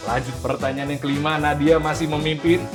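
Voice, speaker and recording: A man's voice: fairly high pitch, fairly even intonation, moderate pace. A man hosting a quiz show, reading out clues and scores with emphatic, stretched key words. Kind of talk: lively game show presenting. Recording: music playing behind the voice.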